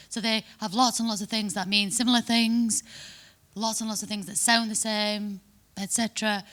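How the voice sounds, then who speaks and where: A woman's voice: medium pitch, a bit bright. A woman from Manchester with a Mancunian accent, giving a chatty conference talk and often checking in with "yeah?". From Manchester, England. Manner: chatty, frequent tag "yeah?" and restarts. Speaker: conference speaker. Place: conference hall.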